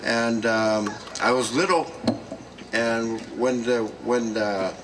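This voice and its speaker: A man addresses a gathering in slow, halting speech full of pauses and fillers. He is picked up from a distance, with clear noise around him at an outdoor event. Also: medium pitch, fairly bright tone. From South Dakota, United States. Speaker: speaker at a gathering.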